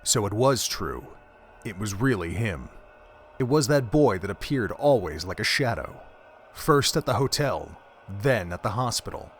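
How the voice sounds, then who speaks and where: A man giving scripted story narration for an audio drama in a measured, even way. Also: lowish voice, breathy edge, soft background music.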